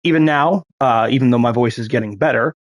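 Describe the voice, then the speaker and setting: A man's voice: medium pitch, fairly quick, no room sound. A man, a gaming YouTuber, giving casual gameplay commentary in a chatty, upbeat way, his voice still slightly scratchy from being ill. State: slightly scratchy voice after illness.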